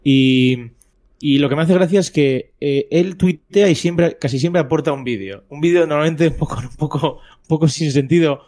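Casting: man from Spain, podcast guest, easygoing chat, lots of hesitations. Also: mid-range voice. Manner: relaxed, hesitant, many fillers.

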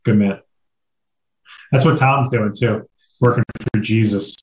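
A man's voice: fairly low pitch, measured pace, reverberant, slight background noise, low-quality recording. A man hosting a casual podcast, talking thoughtfully and often restarting his sentences. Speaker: host.

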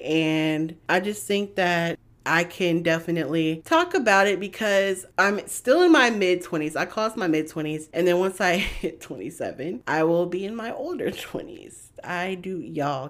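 A woman in her twenties hosting a podcast on her own, talking in a rambling, chatty way with lots of "like" and "you know.". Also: fairly low pitch. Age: 20s. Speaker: podcast host. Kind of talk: casual solo podcast chat.

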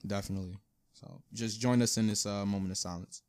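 A man's voice: on the low side, steady tone, a little fast, a little mellow. Subdued man co-hosting a podcast. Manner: informal urban slang, frequent "you know what I'm saying". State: subdued, low energy. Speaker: podcast co-host. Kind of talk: casual conversational podcast talk.

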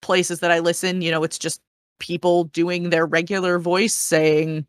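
A woman's voice: on the low side, flat delivery, unhurried, smooth, no room sound, clean studio sound. A woman in a casual discussion, explaining in an earnest, encouraging and meandering way as a panel guest.

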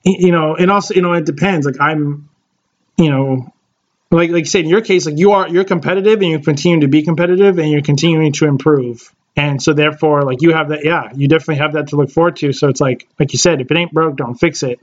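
A man in his late twenties co-hosting a podcast, his voice sitting a little high. He rambles through casual chat full of fillers and restarts. The sound is slightly dull and lo-fi. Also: steady tone, quick pace. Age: late 20s. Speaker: podcast co-host.

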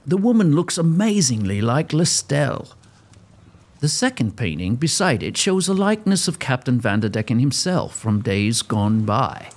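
A man's voice: mid-range voice, very animated, normal speed. A man giving dramatic scripted narration as the storyteller of an audio drama.

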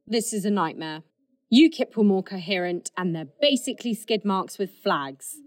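A woman's voice: mid-range voice, lively intonation, moderate pace, dry recording, studio quality, close to an everyday voice. A woman playing an acid-tongued, impatient political staffer in a comedy drama. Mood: dry, sour. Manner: cutting, sarcastic, impatient. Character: acid-tongued, foul-mouthed female political staffer, scornful. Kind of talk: fast comedy audio drama dialogue.

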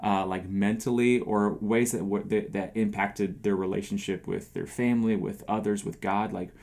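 Low gravelly man, rambling casually. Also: steady tone, moderate pace. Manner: rambling, many fillers like "like" and "you know", trailing off softly. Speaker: podcast co-host. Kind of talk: casual discussion.

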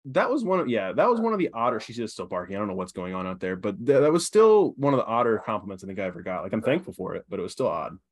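A young man co-hosting a sports podcast, talking in a relaxed, soothing, smooth way. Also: on the low side, rapid-fire, hardly any pauses. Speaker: podcast co-host. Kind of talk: casual sports chat.

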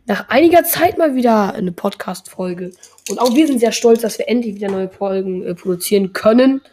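A woman's voice: medium pitch, fairly quick, mellow, slight background noise. A young woman in her teens hosting a casual podcast, rambling along with lots of filler words. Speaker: podcast host.

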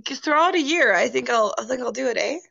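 A woman in her late twenties joining as co-host, playful and quick to get defensive, swearing a lot. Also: sits high, animated, creaky, fairly bright tone, highs cut off. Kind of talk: casual chat.

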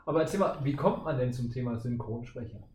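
A man's voice: mid-range voice, animated, fast, darkish tone, recorded from a distance. A man hosting a podcast, asking his guest questions in a casual, chatty way. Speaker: podcast host. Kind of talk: casual interviewing. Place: indoor room shared with the guest. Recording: echoey room, off mic, faint noise.

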